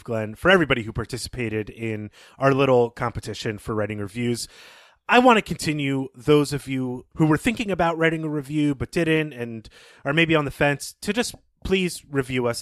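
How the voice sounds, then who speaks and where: A man co-hosting a podcast, talking casually and explaining things in a measured, thoughtful way. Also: medium pitch, a bit bright, no echo, studio quality. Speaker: podcast co-host. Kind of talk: casual podcast talk and story recap.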